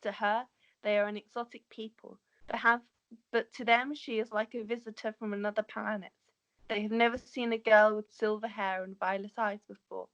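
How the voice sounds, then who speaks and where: A woman's voice: mid-range voice, level tone, normal speed, a bit bright, dull audio. A woman narrating the stage directions of a script in an amateur table read, sometimes stumbling over words.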